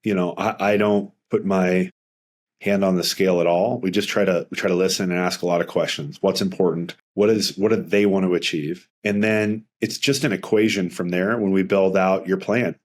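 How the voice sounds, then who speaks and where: A man's voice: low-pitched, steady tone, normal speed. A middle-aged man speaking as a financial advisor in a casual interview, earnest and rambling with frequent restarts.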